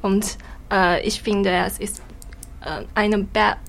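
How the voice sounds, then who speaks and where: A woman's voice: mid-range voice, steady tone, slow, creaky, a little hiss or hum. A young woman from China with a Chinese accent, an exchange student answering hesitantly in learner German. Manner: hesitant, self-correcting.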